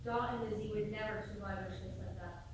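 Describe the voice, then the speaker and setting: A woman's voice: medium pitch, fairly even intonation, a little fast, close to an everyday voice. An actress playing a sensible, protective young Irish emigrant woman in a stage play. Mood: sceptical. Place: theatre stage, picked up from afar. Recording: echoey hall, distant mic, clear noise.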